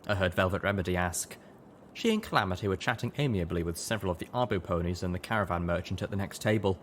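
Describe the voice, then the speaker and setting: A man narrating a first-person story in an expressive audiobook style. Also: low-pitched, quick pace, a little hiss or hum. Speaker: audiobook reader.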